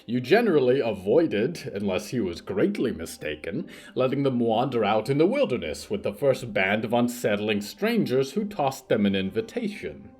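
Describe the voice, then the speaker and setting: Man, husky tone, animated intonation, theatrical horror storytelling, formal measured phrasing. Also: medium pitch, soft background music. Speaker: narrator of a horror fiction podcast. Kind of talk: dramatic scripted narration.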